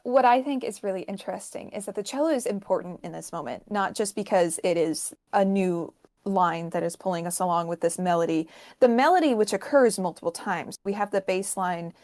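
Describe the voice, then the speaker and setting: A woman's voice: medium pitch, brisk, darkish tone. Woman explaining music, step by step. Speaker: podcast panelist explaining music.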